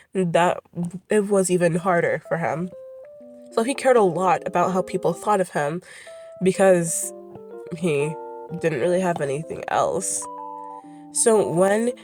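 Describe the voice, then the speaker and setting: Brief: woman, congested and sniffly from illness, low-ish voice, loose off-script chatter with self-corrections. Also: a little mellow. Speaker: creator presenting her characters. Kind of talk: casual rambling vlog commentary.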